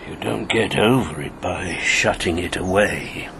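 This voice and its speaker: An actor playing a guarded, wry older former wartime radio agent in a radio drama, with a terse delivery. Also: male voice, low-pitched, a little slow, gravelly, crowd noise. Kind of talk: naturalistic radio drama acting.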